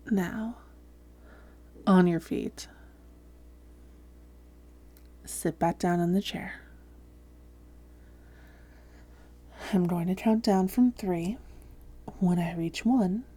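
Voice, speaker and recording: A woman playing a seductive, domineering vampire posing as a therapist in an intimate roleplay audio, speaking softly and deliberately with frequent whispering. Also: mid-range voice. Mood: commanding, hypnotic. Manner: soft, breathy, often whispering, deliberate. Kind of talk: intimate roleplay audio drama, hypnotic delivery.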